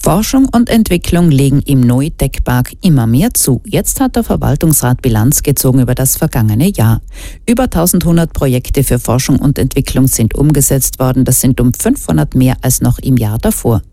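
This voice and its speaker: Very low, mellow woman newsreader. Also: expressive, moderate pace, no room sound, clean studio sound. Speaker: radio news anchor. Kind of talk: scripted news bulletin reading.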